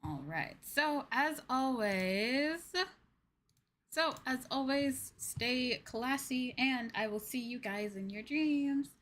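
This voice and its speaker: A woman's voice: high-pitched, expressive, takes it slowly, a bit bright, slight background noise. A woman livestreamer chatting casually and politely while gaming, musing to her audience. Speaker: livestreamer and host. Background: music.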